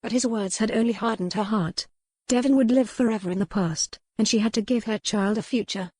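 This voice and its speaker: A woman reading scripted romance fiction as an audiobook narrator in a deliberate storytelling manner. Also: medium pitch, darkish tone, breathy edge, no echo, clean studio sound.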